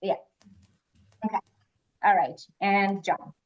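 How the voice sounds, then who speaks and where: A woman's voice: mid-range voice, slow. A woman hosting a quiz, reading questions aloud and moderating informally. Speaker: quiz host. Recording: dull lo-fi online call audio.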